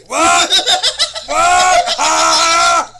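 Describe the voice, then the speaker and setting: A man with a high, sharply bright voice hosts a comedy show. He rambles through an absurd monologue with a deadpan delivery and casual profanity. A faint hiss sits under the recording. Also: extremely slow. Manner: rambling, profane, deadpan nonsense with sudden bursts of laughter.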